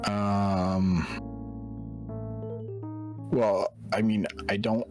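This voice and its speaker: A man from Canada with a very deep voice, answering questions in a laid-back, blunt way. His answers stay short and unbothered. Plucked guitar music plays clearly behind him. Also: little pitch movement, drawn-out words. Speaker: guest. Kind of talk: casual streamed chat.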